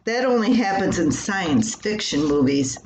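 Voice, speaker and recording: A wry farm wife played by an older woman reading a story, patient yet exasperated and gently chiding. She speaks slowly and pointedly in an echoey room, with faint noise behind. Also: mid-range voice, animated, lightly acted. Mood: wryly exasperated. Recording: roomy echo with faint background noise.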